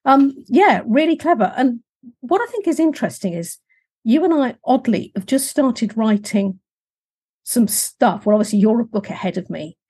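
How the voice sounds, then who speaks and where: Husky middle-aged woman hosting a podcast. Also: fairly high pitch, lively intonation, normal speed, mellow. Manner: chatty, informal, rambling. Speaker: podcast host. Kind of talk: casual conversational podcast hosting.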